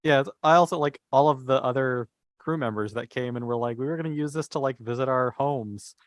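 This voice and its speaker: A man's voice: medium pitch, fairly quick, no room sound. Man chatting in a dry, close recording. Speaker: podcast panelist. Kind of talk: casual fan discussion.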